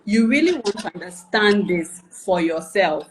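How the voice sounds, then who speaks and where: A woman's voice: mid-range voice, animated, a little slow. A woman coaching on personal branding in a live video chat, explaining patiently and often checking that the listener follows. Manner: explanatory, checks for understanding with "right?" and "okay?"; builds points with repeated questions and enumerations.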